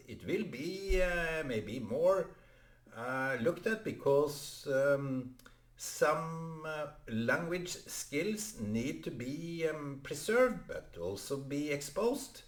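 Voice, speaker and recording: A man whose first language is Norwegian, talking to camera in a halting vlog monologue full of fillers and restarts. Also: on the high side, echoey room. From Norway. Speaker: vlogger. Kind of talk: unscripted solo vlog monologue.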